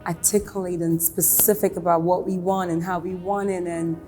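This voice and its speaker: Chatty young woman with dark tone. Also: lowish voice, moderate pace. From Ghana. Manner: animated, chatty, many fillers. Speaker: filmmaker guest. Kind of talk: casual interview. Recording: long reverb, faint music.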